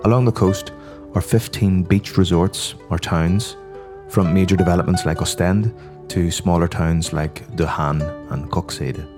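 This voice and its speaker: An Irish man hosting a podcast, reading scripted narration in a measured, informative way. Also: deep voice, fairly even intonation. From Ireland. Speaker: podcast host. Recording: music playing behind the voice. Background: guitar music.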